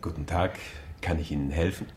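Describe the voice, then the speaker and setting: A man's voice: a bass voice, fairly even intonation, a little fast, a little mellow, reverberant, faint music behind. A man playing a courteous, businesslike hotel receptionist in a radio crime comedy. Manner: polite, service-minded.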